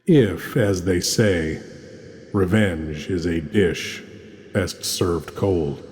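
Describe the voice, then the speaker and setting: A man playing a courtly, sinister horror host, narrating theatrically in formal, drawn-out phrases. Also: sits low, darkish tone. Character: ghoulish, courtly male horror host lurking in shadows.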